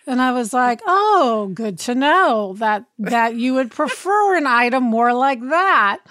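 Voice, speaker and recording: A woman with a raspy voice from illness, co-hosting a podcast in casual chat and acting out quoted lines. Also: fairly high pitch, lively intonation, takes it slowly, a bit bright, no echo. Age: adult with a child. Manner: chatty, acts out quoted dialogue. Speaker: podcast co-host.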